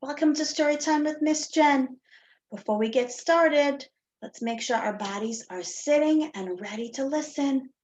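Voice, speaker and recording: Lady teacher doing story time for little kids, real slow and sing-song, kinda dull lo-fi audio. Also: high-pitched, a little mellow. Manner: sing-song, asks children questions with pauses for answers; simple, deliberate phrasing for small children. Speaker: teacher reading a picture book to young children. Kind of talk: children's story time read-aloud.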